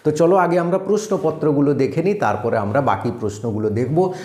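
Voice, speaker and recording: A man's voice: fairly high pitch, animated, fairly quick, dark tone. A man teaching exam revision, reading out questions and answers and directly instructing his students as he goes. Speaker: exam-prep teacher and presenter.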